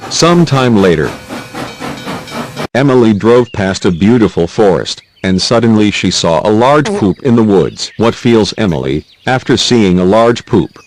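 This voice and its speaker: A man's voice: lowish voice, big pitch swings, measured pace, slight background noise, rough recording. A man reading scripted narration for a children's quiz video, with simple prompts in non-native English phrasing.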